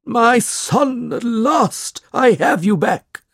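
A narrator voicing a scheming man in a storybook who poses as a doting father, wheedling in drawn-out words. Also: medium pitch, animated, dry recording, clean studio sound, pitched above the performer's own voice, full character voice. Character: scheming man posing as a boy's long-lost father.

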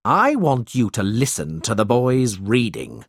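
A man reading aloud puts on a cruel schoolmaster from a storybook, all bluster and threats, his voice raised well above the narration and swinging wildly up and down. The delivery is slow and heavy, and it sounds dry and close, with no room around it. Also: mid-range voice, wildly swooping pitch, no room sound, exaggerated voice. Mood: bullying. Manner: loud, blustering and bullying. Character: cruel, boastful male schoolmaster, middle-aged. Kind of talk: audiobook character reading.

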